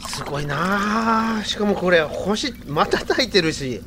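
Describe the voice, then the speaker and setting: An impressionable young man played by a male actor in a radio drama. His slightly high voice moves with very lively intonation over faint night ambience. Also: slow, slight background noise, full character voice. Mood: amazed.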